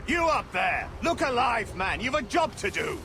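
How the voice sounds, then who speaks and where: An actor playing an irate, imperious guard officer in a video game, commanding and scolding the men under him. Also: male voice, very high-pitched, big pitch swings, normal speed, exaggerated voice. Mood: angry. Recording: loud ship and outdoor ambience noise.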